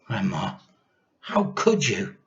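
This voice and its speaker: A man's voice: on the low side, huge pitch swings, slow, lo-fi sound, heavily acted. Man playing a squeamish, protesting little boy. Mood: appalled. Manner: protesting, disgusted. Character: squeamish, polite little boy, appalled by his grandma.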